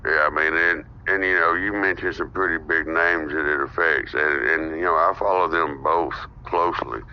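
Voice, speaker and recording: A man's voice: a bass voice, normal speed, a little hiss or hum. A man who hosts a podcast, speaking as a guest in an earnest, opinionated political discussion. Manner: earnest, opinionated, steady explaining. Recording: dull lo-fi audio.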